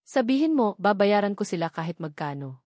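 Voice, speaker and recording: A woman's voice: medium pitch, very animated, fairly quick, darkish tone, dry recording. A commanding, wealthy businessman, played by a woman storyteller, speaks in firm, clipped orders. He sounds determined and used to authority, switching between Tagalog and English. The sound is close and dry, as in an audio story. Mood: firm, urgent. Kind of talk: dramatic audiobook character voice.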